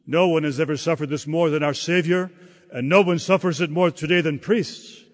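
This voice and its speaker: A man preaching a sermon in a slow, halting delivery, often repeating words as he searches for the next phrase. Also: fairly high pitch. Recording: long hall reverb.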